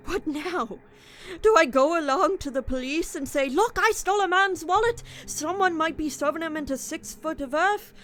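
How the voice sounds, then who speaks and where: A woman's voice: very high voice, normal speed, a bit bright. A woman playing a guilt-ridden young man, a desperate petty thief, confessing and narrating in a radio drama. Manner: brooding, dramatic first-person confession.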